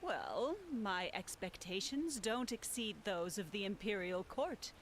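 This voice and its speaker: A woman's voice: mid-range voice, animated, unhurried, slight background noise, lightly acted. A woman playing a courteous, evasive housekeeper of a mysterious fantasy manor, formal and primly polite in a game. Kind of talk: scripted fantasy game dialogue.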